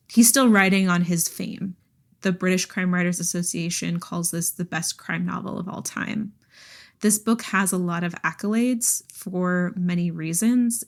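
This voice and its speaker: A woman co-hosting a podcast, talking casually and emphatically about books, with "like" sprinkled through her sentences. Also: lowish voice. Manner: chatty, emphatic, peppers talk with "like". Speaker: podcast co-host. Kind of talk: casual book discussion.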